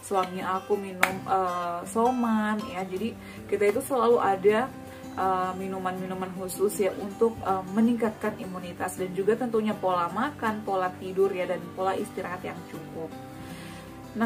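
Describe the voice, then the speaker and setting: A woman's voice: mid-range voice, distant mic. A woman in her thirties casually sharing a personal story, chatty, with frequent tag words and hesitations.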